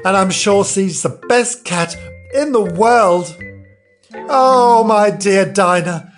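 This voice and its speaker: A man's voice: a little high, fairly even intonation, a little slow, faint music behind, close to an everyday voice. A man voicing a lonely, melancholy young girl in a storybook reading. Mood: melancholy, wistful.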